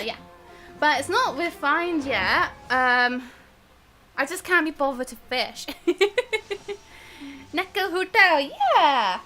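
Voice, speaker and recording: A woman chatting casually and bubbly as she hosts a live game stream, quick to giggle. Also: sits high, bright, forceful tone. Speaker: live stream gamer and host.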